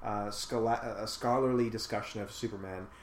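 A man's voice: lowish voice, fairly even intonation, normal speed. A man in a casual film discussion, talking thoughtfully at length with many fillers. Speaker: podcast host discussing a film. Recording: echoey room with faint noise.

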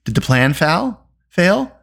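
An impulsive storybook boy in his teens, acted out by a narrator. He speaks earnestly and with some anxiety. His delivery is animated, with pitch moving around a lot. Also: quick pace, natural voice. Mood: anxious. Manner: anxious, earnest questioning. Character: earnest, impulsive teenage boy, assistant pig keeper.

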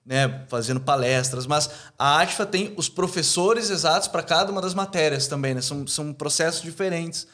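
A man co-hosting a podcast, talking quickly and casually, adding asides to the discussion. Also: fairly high pitch, fairly bright tone. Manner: quick, conversational, adds asides. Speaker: podcast co-host, coaching student.

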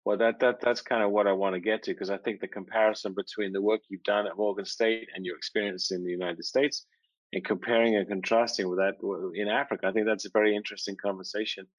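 A man with a lowish voice hosts an interview podcast and wanders a little, restarting his sentences as he frames his questions. The sound is dry and a bit dull, as if recorded over a call. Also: fairly even intonation, quick pace, no room sound. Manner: rambling, hesitant, friendly. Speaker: podcast host. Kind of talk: conversational interview.

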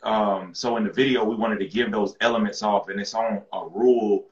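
Man from with a low, deep voice, relaxed slangy guest; muffled lo-fi sound in an echoey room. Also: normal speed. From rural North Carolina. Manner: laid-back, slangy, repeats words as he restarts. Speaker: guest rapper. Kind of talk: casual interview chat.

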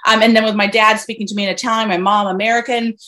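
A woman's voice: medium pitch, fairly even intonation, fast, sharp tone. A woman from Venezuela, a guest investor on a video call, talking through her background in a chatty way with many fillers and restarts. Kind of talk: casual interview conversation.

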